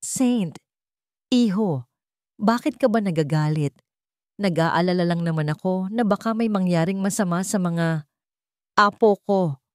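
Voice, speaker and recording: A woman performs a vengeful older villainess from a radio drama. She sneers and taunts, savoring her words with deliberate menace. The sound is dry and close. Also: fairly low pitch, darkish tone, no echo, natural voice. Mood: mock concern. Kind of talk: Tagalog radio drama storytelling.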